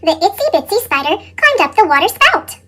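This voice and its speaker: A woman's voice: sing-song, a little fast, fairly bright tone. A woman reading children's picture books aloud expressively, her voice sped up with a chipmunk effect. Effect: sped-up chipmunk pitch effect. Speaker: storybook reader.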